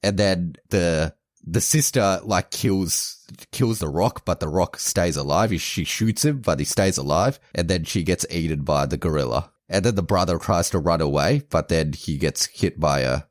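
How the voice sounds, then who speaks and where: Very deep-voiced man rambling through a plot. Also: normal speed, dry recording, clean studio sound. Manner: rambling with frequent restarts and self-corrections, laughing at the plot. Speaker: podcast co-host. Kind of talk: casual chat, retelling a movie plot.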